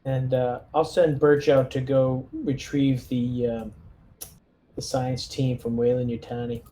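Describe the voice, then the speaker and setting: Mellow-voiced man playing a tabletop game. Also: medium pitch, level tone, a little slow, a little hiss or hum. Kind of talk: casual in-character game chat.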